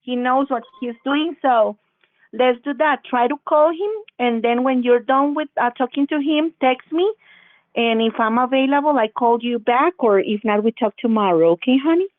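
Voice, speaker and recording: A woman's voice: high-pitched, normal speed, no room sound. A woman with a Brazilian Portuguese accent, a scam caller in a casual phone chat who keeps calling the listener honey. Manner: sweet-talking, calls him honey. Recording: tinny dry phone line.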